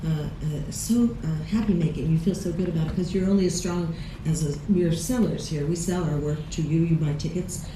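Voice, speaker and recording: A woman's voice: low-pitched, a little fast, hardly any pauses, dark tone, a little hiss or hum. Emphatic American woman in a big hall. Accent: Chicago. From Chicago, USA. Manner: emphatic, repeats words for stress. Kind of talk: panel Q&A answers. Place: large screening hall. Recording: echoey hall recorded from far off.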